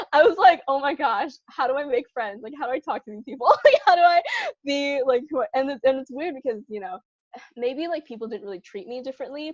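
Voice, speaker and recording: Chatty young woman cohosting a podcast, fillers everywhere, bit muffled, no room echo. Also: on the high side. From Southern California. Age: young adult. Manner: chatty, lots of "like" and laughter. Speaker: podcast co-host. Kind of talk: casual chat.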